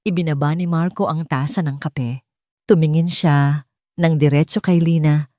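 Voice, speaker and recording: A woman's voice: sits low, steady tone, normal speed, dark tone, no room sound, clean studio sound. A woman with a Filipino accent reading a dramatic story as an audiobook narrator.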